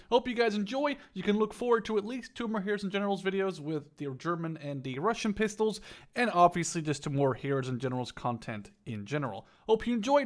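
A man in his twenties doing casual gameplay commentary and banter as a gaming YouTuber. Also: fairly high pitch. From Canada or Netherlands. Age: 20s. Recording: noisy, game sounds behind voice. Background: video game vehicle and ambient noise.

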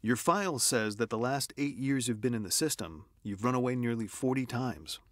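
Radio drama role: calm, probing psychiatrist played by a man, mid-pitched, measured, close dry recording. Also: no echo, close to an everyday voice. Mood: calm, matter-of-fact. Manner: measured, dryly questioning. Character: calm, probing male psychiatric ward doctor.

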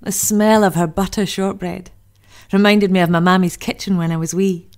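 A woman's voice: medium pitch, steady tone, moderate pace, natural voice. A woman playing a homesick, chatty First World War diarist, in a dramatic monologue. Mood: wistful, chatty. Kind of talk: dramatic monologue, diary reading.

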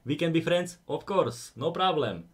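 A man's voice: a little high, expressive, moderate pace. A man in his thirties with a Slovak accent, chatting playfully with his stream in broken English while gaming on a headset mic at home. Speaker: game streamer. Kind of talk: casual live-stream chat. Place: indoor home room.

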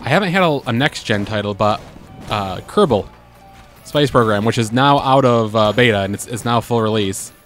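A man's voice: fairly low pitch, animated, moderate pace, a bit bright. A man casually chatting while playing a video game, joking and laughing often. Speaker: let's play host. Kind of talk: casual gameplay chat. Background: game music.

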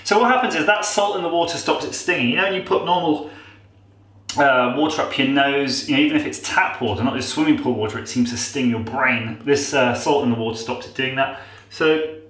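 A man's voice: medium pitch, a little fast, a bit bright. Nasal, chatty man in an echoey bathroom. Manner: chatty, self-mocking, rambling asides. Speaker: vlogger. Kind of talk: casual how-to demo. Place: small tiled bathroom. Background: running tap water.

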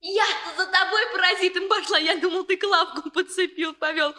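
An actress playing a brash, teasing, excitable young village woman with saucy, exclamatory folk speech in a 1960s radio drama. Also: very high-pitched, normal speed. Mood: scandalized, gleeful.

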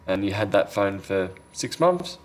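A man's voice: lowish voice, very animated, unhurried. A man giving casual, unscripted commentary as he works at an indoor repair bench, sometimes muttering to himself. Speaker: phone repair technician and video host. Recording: clear background noise.

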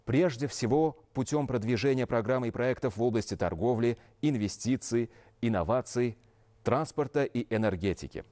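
A man in his thirties reading scripted news as an anchor in a formal, measured, official manner. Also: medium pitch, dark tone. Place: television news studio.

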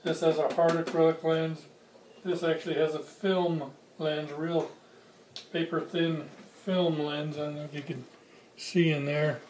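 A man's voice: a little high, flat delivery, a little slow, a little mellow. A man in his sixties giving a casual, unscripted product comparison in a plain-spoken, hesitant way, speaking in short phrases. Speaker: hobbyist video reviewer. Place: home kitchen, indoors. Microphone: camera mic a few feet away. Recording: distant mic, roomy echo, faint noise.

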